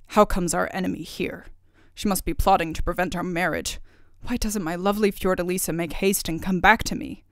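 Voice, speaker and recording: A woman's voice: on the low side, steady tone, a little fast, dry recording, studio quality, close to an everyday voice. A woman voicing a proud, lovelorn young king in a fairy tale, stubborn and indignant. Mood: puzzled.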